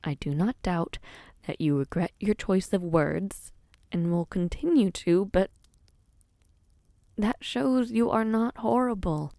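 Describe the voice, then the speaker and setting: A woman playing a gentle, protective young prince in a dramatic audio roleplay, speaking in a soothing, earnest manner. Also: mid-range voice, slow pace, no room sound. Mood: earnest, reassuring.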